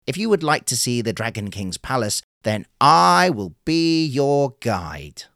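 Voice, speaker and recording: A man voicing a kindly, calm little male sea turtle in a storybook tale, speaking slowly and courteously. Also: mid-range voice, no room sound, studio quality, pitched above the performer's own voice. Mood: gentle, earnest. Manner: slow, gentle and courteous. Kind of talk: storybook character voice.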